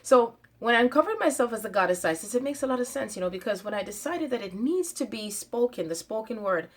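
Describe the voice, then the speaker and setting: A woman's voice: on the high side, animated, fast talker. A woman talking straight to her viewers in a spiritual monologue, stating her claims with emphasis, often repeating phrases and stopping on fillers. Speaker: channel host.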